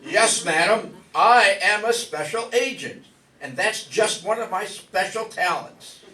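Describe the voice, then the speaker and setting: A man's voice: sits high, expressive, a little slow, pushed and bright, full character voice. A man playing a suave, self-assured, formal special agent in an old-time radio detective spoof. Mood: gallant. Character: suave, unflappable, heroic male special agent. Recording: echoey room, distant mic.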